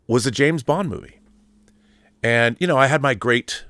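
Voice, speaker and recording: A man's voice: mid-range voice, fairly bright tone, no echo, clean studio sound. A middle-aged man from the Pacific Northwest of the United States hosting a podcast, talking through a loose, rambling monologue full of asides and restarts. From Mercer Island, Washington, USA. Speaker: podcast host. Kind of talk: casual monologue.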